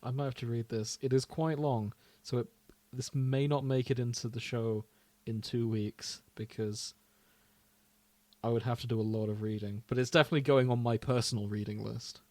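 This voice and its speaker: British man, podcast co-host, casual chat, middling pitch, very little room sound. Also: steady tone, moderate pace, a little mellow, dry recording. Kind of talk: casual chat about fan fiction.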